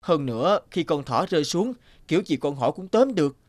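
A man's voice: fairly high pitch, big pitch swings, a little fast, a little mellow, dry recording, studio quality. A man playing an earnest, determined young farmer son in a cartoon, explaining things in earnest. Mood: analytical. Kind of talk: animated cartoon dialogue. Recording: background music under speech.

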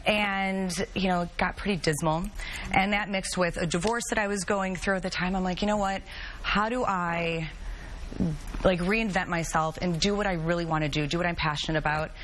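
A woman in her thirties chats animatedly as a guest on a television talk-show set. Her voice sits on the low side, with a faint hum of room ambience behind her. She tells her stories quickly and casually, in a lapel-miked studio sound. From Chicago area. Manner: chatty, animated storytelling. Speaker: guest, travel and music blogger.

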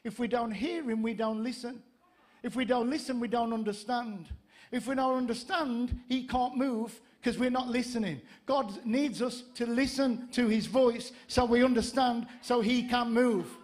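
A male pastor in his fifties with a north-east English accent speaks in a high-pitched voice. He preaches conversationally from a church stage, moving between humorous storytelling and repeated, emphatic points. He speaks into a handheld microphone. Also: fairly even intonation, moderate pace. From Gateshead, north-east England. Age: 50s. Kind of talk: sermon with humorous anecdotes.

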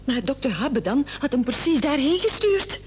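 Distraught wife in a radio play. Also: female voice, high-pitched, very animated, normal speed. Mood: upset, protesting. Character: distraught, reproachful wife of a gravely ill man. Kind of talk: radio drama acting. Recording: old radio play, faint noise and hum.

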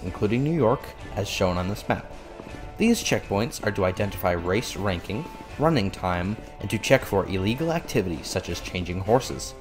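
A man playing an excitable, official-sounding race announcer in a dramatic manga fandub. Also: on the low side. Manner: formal explaining that bursts into breathless excitement.

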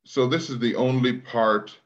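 A middle-aged man from Guyana, raised in Brooklyn, speaking as an interview guest over a video call, thoughtful and measured with restarts. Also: mid-range voice, fairly even intonation, lo-fi sound. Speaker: guest, leadership trainer. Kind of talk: conversational interview.

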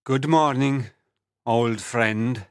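A man playing a grieving, hot-tempered prince in a dramatised storybook legend, speaking deliberately. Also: medium pitch, no echo, clean studio sound. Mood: tender sorrow. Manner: slow, deliberate, dramatic delivery. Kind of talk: dramatised storybook reading for learners.

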